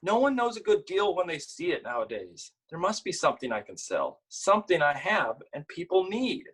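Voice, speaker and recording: A man's voice: high-pitched, very animated, normal speed, a bit bright, husky, voice pushed up, full character voice, poor audio. A man voicing an eager, wistful little girl with a pleading, sing-song delivery in a picture book read-aloud. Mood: hopeful.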